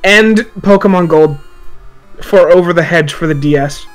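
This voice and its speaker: A man hosting a casual podcast chat, swearing freely and mocking himself in a halting delivery. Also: fairly high pitch, low-quality recording. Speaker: podcast host. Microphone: voice call microphone. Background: music.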